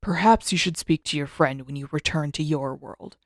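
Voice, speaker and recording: A calm old male spirit mentor from a fantasy storybook, voiced by a woman. He speaks formally and gravely, with a measured delivery set lower, in a dry recording. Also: no echo.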